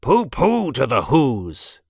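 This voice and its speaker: A man's voice: mid-range voice, huge pitch swings, slow, darkish tone, breathy edge, no room sound, studio quality, full character voice. A man voicing a sly, smug, scheming monster in a children's storybook. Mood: smug gloating.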